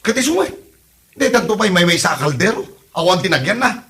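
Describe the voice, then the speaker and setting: A man's voice: high-pitched, big pitch swings, fairly quick, pushed and bright, heavily acted. A man acting a fed-up husband scolding his wife in an Ilocano comedy drama, with an Ilocano accent.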